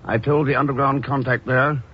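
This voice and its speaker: An actor playing a calm, dryly sardonic espionage hero with a husky voice in a 1950s radio drama. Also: male voice, mid-range voice, moderate pace, lightly acted. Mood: cool, assured. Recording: muffled old radio recording.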